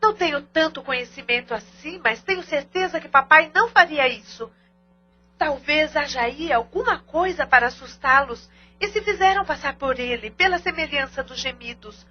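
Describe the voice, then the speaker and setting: An actress playing a sensible, loyal aunt who speaks earnestly in an audio drama. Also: female voice, high-pitched, animated, moderate pace. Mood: earnest, reasoning. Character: sensible, loyal aunt reasoning over a mystery. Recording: dull lo-fi audio drama recording.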